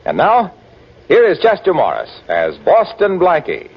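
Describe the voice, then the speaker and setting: A man's voice: sits high, wildly swooping pitch, a little slow, husky. An American man, a polished 1940s radio announcer reading scripted introductions and sales copy in a salesmanlike manner. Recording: dull lo-fi 1940s radio recording.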